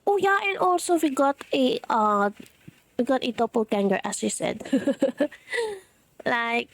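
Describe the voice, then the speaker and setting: A woman's voice: a little high, lively intonation, a little slow. A woman from the Philippines with a Filipino accent, whose first language is Tagalog, giving rambling gameplay commentary alone in her room, with a voice still recovering, many fillers and self-corrections. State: voice recovering after being lost. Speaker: game streamer.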